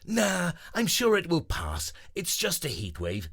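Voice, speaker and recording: A man puts on a lively, high-pitched male otter from a children's storybook, eager and urgent. The voice bounces all over its range and has a slightly husky edge. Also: a little slow, full character voice. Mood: cheerful.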